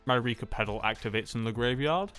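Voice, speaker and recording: A man's voice: medium pitch, a little fast, a bit bright, faint music behind, natural voice. A man playing an easygoing, confident card duelist in an improvised anime-style roleplay, often thinking aloud with small hums.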